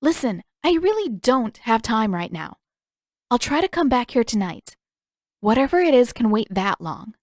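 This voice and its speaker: A teasing teenage girl, acted by a woman reading a story, sure of herself and a little dismissive. The voice is lifted in pitch, with sardonic delivery and a dry storybook sound. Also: sing-song, moderate pace, dry recording. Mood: brisk, dismissive.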